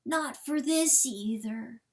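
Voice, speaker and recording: A woman voicing a small, wounded male coyote in an animal fable, calm and forgiving, speaking softly and earnestly. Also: high-pitched, voice pushed up, exaggerated voice. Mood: tender, forgiving. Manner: soft, slow, earnest whispering.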